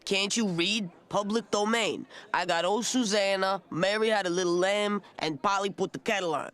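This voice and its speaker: A man's voice: sits high, moderate pace, fairly bright tone, clean tone. A man voicing a blunt, penny-pinching cheapskate in a cartoon, curt and matter-of-fact.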